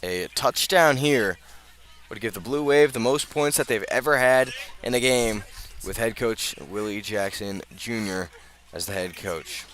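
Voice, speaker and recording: An American man calling live high school football on the radio, measured between plays and emphatic on the big ones. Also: a bit bright. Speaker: play-by-play announcer.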